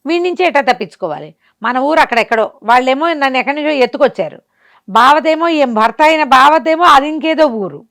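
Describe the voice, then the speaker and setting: A woman's voice: sits high, lively intonation, fairly quick, fairly bright tone. A woman telling a folk tale conversationally, often asking and answering her own questions. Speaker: storyteller. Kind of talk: spoken folk-tale storytelling.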